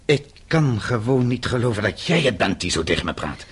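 An actor in a radio play, playing a principled, wounded elderly father who speaks gravely and haltingly. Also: mid-range voice, very animated. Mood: hurt, reproachful. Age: older. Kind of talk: radio drama acting. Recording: radio play with faint background noise.

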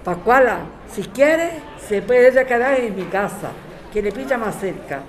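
Woman playing kind composed neighbour lady in a radio drama, highish voice, chatty and considerate. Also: lively intonation, a little slow. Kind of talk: scripted amateur radio drama.

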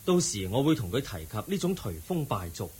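Low, husky Buddha, Hong Kong Cantonese. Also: male voice, big pitch swings, a little mellow, exaggerated voice. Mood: solemn, earnest. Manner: measured, instructive, earnest. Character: the Buddha, a serene, compassionate male spiritual teacher. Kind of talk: Buddhist radio drama acting.

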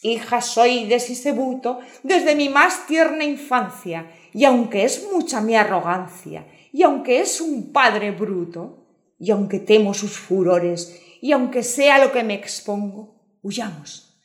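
An actress playing a count's young daughter madly in love, gushing theatrically in comic verse. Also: female voice, on the high side, expressive, takes it slowly. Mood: passionate. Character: lovestruck, melodramatic young noblewoman, count's daughter. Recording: roomy echo.

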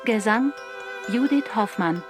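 Slow-paced female announcer over tinkling music. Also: fairly high pitch, expressive. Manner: measured, matter-of-fact. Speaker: credits announcer. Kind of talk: scripted radio drama announcement.

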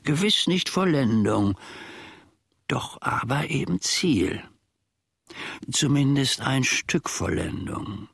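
A gravelly old manservant, a retired soldier full of grumbling self-importance. He muses slowly and theatrically, as in a radio play monologue. The sound is close and dry. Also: mid-range voice, animated, a bit bright, dry recording, exaggerated voice. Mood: wistful, musing. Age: older. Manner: pompous, musing, theatrical.

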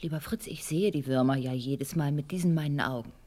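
A woman's voice: low-pitched, fairly quick, warm tone. An actress playing a stubborn, superstitious wife and mother in a radio play. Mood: insistent.

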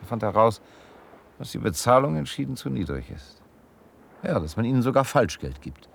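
An actor playing a reflective, world-weary wanderer in a radio drama, measured and reserved. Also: male voice, mid-range voice, very animated, vocal fry, slight background noise. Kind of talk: German radio drama acting.

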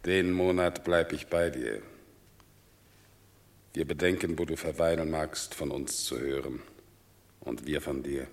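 An actor playing a loyal, grave older Roman friend who speaks measured lines. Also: male voice, extremely low pitch.

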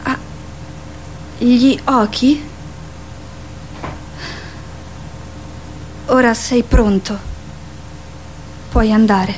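Wistful, higher-voiced mother. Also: steady tone, very slow, a little mellow, a little hiss or hum, poor audio. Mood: gently urging. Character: wistful, tender adult mother of a young boy. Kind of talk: theatrical radio drama acting.

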